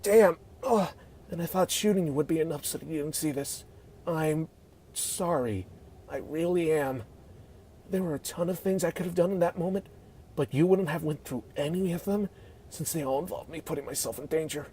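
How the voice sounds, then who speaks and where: A man playing a dying, regretful teenage boy in an amateur script read, with pained, halting delivery. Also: fairly high pitch, warm tone, close to an everyday voice. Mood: pained, apologetic. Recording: noisy home microphone recording.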